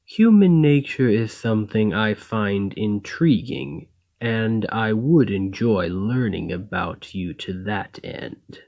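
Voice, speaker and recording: A man playing an escaped golem in an ASMR roleplay, a coldly logical, polite and self-serving captor with formal, measured, detached phrasing. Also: lowish voice, animated, creaky, a little mellow, exaggerated voice. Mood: detached, curious.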